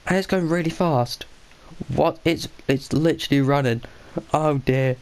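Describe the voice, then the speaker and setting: A man's voice: fairly high pitch, measured pace, darkish tone. A man with a blocked, stuffy nose giving casual, rambling commentary while he plays a game, often correcting himself and muttering to himself. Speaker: gaming channel host.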